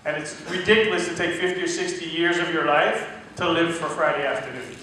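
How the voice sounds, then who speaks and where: Guy telling his story on stage, bright voice, super echoey far-off room. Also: fairly high pitch, fairly even intonation, brisk, slight background noise. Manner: casual, storytelling with quoted dialogue. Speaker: speaker at a business event. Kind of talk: motivational testimonial speech. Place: large event room.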